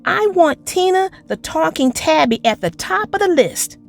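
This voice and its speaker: High childlike voice, earnest young kid acted by a woman, eager, very lively pitch, storybook reading. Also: normal speed, vocal fry, soft background music, heavily acted. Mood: eager, demanding. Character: earnest young schoolboy fretting over perfection.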